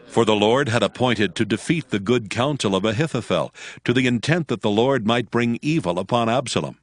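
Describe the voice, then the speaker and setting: A man narrating scripture as scripted storytelling, in a measured and solemn manner. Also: medium pitch, animated, no room sound, studio quality.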